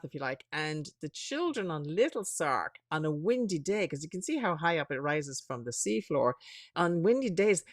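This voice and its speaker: A woman from Ireland with a softened Irish accent, a guest telling lively stories in a casual interview. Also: low-pitched, dry recording, clean studio sound. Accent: Irish, softened by years in America. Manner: lively storyteller, chatty, laughs often. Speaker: author and guest.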